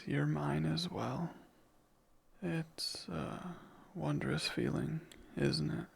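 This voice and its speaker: A man voicing a devoted demon servant in an intimate ASMR romance, murmuring in a husky, breathy, formal way. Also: a little high, heavily acted. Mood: devoted, tender. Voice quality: husky, breathy with heavy creak. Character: devoted, yearning male demon servant bound to his master.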